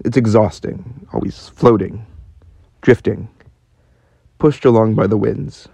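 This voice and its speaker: A man's voice: fairly low pitch, takes it slowly, vocal fry, dark tone, breathy edge, no echo, exaggerated voice. A man playing a lost, world-weary and sceptical wanderer in a radio play. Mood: weary, downcast.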